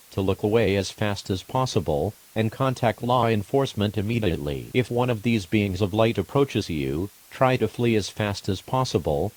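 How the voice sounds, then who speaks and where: A man's voice: on the low side, a little mellow, poor audio. A man reading a scripted emergency broadcast as its announcer, formal and impersonal.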